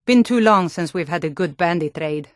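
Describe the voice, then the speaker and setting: A woman's voice: on the low side, expressive, moderate pace, no room sound, clean studio sound, lightly acted. A woman voicing a tough, blunt female Nord warrior guard in a fantasy video game, stern, taunting and combative. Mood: gruff, watchful.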